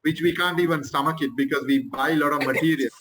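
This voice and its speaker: Man from Sri Lanka, measured manufacturing panelist, slightly raised pitch. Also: steady tone, fairly bright tone, a little hiss or hum. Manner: measured, with fillers. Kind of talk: panel discussion answer.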